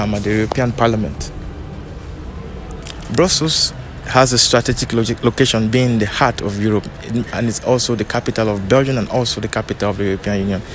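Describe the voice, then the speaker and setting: A young man from Nigeria presenting to camera outdoors with a handheld mic, in a steady promotional manner. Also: mid-range voice. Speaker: business agent in Belgium. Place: outdoors by an office building.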